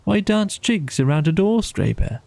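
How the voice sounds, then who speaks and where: A man's voice: high-pitched, sing-song, moderate pace, vocal fry, darkish tone, no echo, pitched above the performer's own voice, heavily acted. A man voicing an injured, plaintive and grumbling mole, a slightly dim storybook animal, in a whimpering, miserable manner. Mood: sceptical, grumbling. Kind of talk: storybook character voice.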